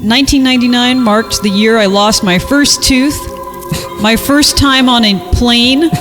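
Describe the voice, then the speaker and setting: A woman's voice: on the high side, a little slow, fairly bright tone, soft background music. A woman reading a story aloud with an amused, mock-dramatic delivery and casual asides. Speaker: podcast guest reader.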